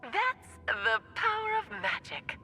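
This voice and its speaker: A woman's voice: extremely high pitch, sing-song, slow pace, pushed and bright, soft background music, heavily acted. A woman playing a playful, smug magician in a video game, teasing and theatrical as she explains things. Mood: playful, proud.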